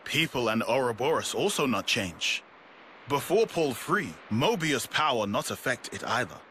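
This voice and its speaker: A man's voice: mid-range voice, very animated, moderate pace, pushed and bright, slight background noise. A man voicing a small, blunt, secretive male creature in a video game, speaking in clipped broken grammar and naming himself in the third person. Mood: matter-of-fact. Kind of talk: video game cutscene voice acting.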